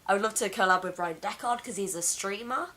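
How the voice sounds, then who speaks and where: English girl with a deep voice gone hoarse from being sick, chatty and rambly, laughs a lot. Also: fairly quick, a loud crowd. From England. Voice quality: deep for a woman. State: hoarse from illness. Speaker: vlogger. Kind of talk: casual chat. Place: home room. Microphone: camera mic.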